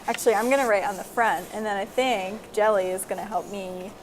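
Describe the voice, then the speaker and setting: A woman's voice: medium pitch, brisk. A woman hosting a children's story time, chatting casually and giving encouraging craft instructions. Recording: clearly noisy amateur recording.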